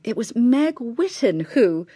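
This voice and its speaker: A bitter, halting middle-aged wife, close-up. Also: female voice, fairly high pitch, very animated, warm tone, husky, dry recording, exaggerated voice. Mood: bitter, scornful. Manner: clipped, halting, repeating phrases. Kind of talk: naturalistic radio drama acting.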